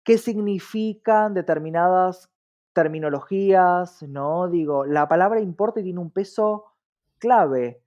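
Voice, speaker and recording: A man's voice: high-pitched, a little slow, a little mellow, lo-fi sound. An Argentine man speaking earnestly and reflectively as an interview guest, often checking in with short tag questions. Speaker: interview guest, diversity activist.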